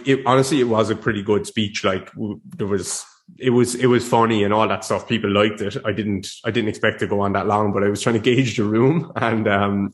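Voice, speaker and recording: A young man hosting his podcast in a casual, rambling chat, a little sniffly from a cold. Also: lowish voice. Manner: rambling, chatty, with restarts and laughs. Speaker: podcast host.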